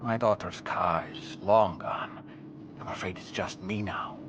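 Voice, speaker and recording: An actor voicing a grieving, gentle father's spirit stuck in a doll in a cinematic video game, speaking with weary resignation. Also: low voice, a little slow, background noise. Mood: mournful. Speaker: spirit medium. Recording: loud cinematic music behind.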